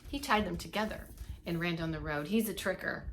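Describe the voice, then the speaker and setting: A woman reading a picture book aloud to young children as a preschool teacher, expressive and emphatic, with little asides to her listeners. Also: on the low side, a little fast, a little hiss or hum. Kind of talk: expressive storybook read-along for young children.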